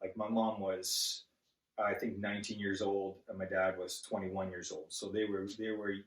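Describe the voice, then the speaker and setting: Lower-voiced man speaking from across an echoey room. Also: level tone, far from the mic. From Zambia. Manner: halting, many restarts and fillers, earnest. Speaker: guest. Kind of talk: casual interview. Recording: echoey room, set back from the mic.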